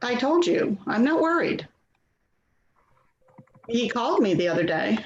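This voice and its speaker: A woman's voice: on the high side, very animated, unhurried, muffled recording, a little hiss or hum. A woman playing an anxious, hurt grown daughter in a dramatic play reading. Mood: worried.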